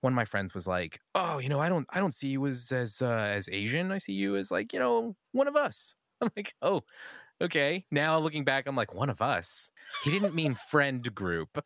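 A man, a pastor, telling stories in casual conversation with frequent laughs and animated delivery. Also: mid-range voice, dry recording, clean studio sound.

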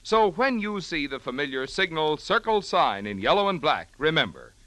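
An American man works as an old-time radio announcer. His voice is slightly high and forceful, swinging widely in pitch as he delivers scripted copy with polished emphasis. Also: big pitch swings, moderate pace, dry recording. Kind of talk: 1940s radio announcing, scripted advertisement and credits.

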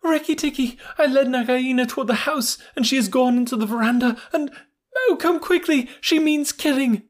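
A man voicing a frightened small female bird with shrill, panicky cries. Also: moderate pace. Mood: frantic.

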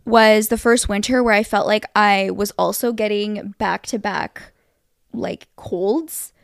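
A young woman co-hosting a podcast, chatting casually with animated reactions and many fillers. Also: medium pitch, slow pace, creaky, dry recording, studio quality. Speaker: podcast co-host. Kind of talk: casual chat.

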